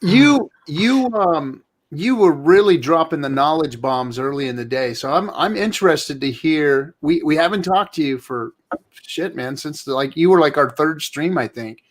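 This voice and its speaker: A man's voice: a little high, lively intonation, moderate pace. A man hosting a casual online stream, swearing freely and repeating words as he talks. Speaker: host. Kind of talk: casual chat.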